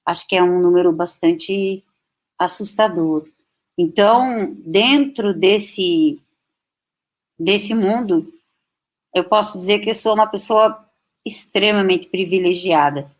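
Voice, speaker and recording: A woman nearing sixty who grew up speaking German at home speaks slowly and thoughtfully to an audience. She takes her time, pauses often and restarts sentences as she finds her words. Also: mid-range voice. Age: 50s. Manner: slow, reflective, with many pauses and restarts. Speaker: guest speaker. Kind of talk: personal talk to an audience.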